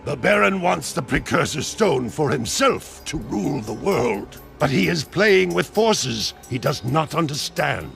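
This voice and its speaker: An actor voicing an officious talking bird who translates for a sage in a video game, declaiming instructions. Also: male voice, a little high, big pitch swings, unhurried, vocal fry, hardly any pauses, a bit bright, soft background music, full character voice. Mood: grave. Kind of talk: animated video game cutscene acting.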